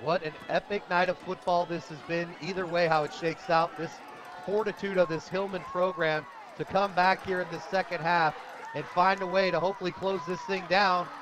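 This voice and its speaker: A man with an American accent calling a live high school football game with an emphatic, excitable sportscaster delivery. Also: normal speed, a crowd in the background, noisy background. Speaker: play-by-play radio announcer. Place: outdoor football stadium broadcast.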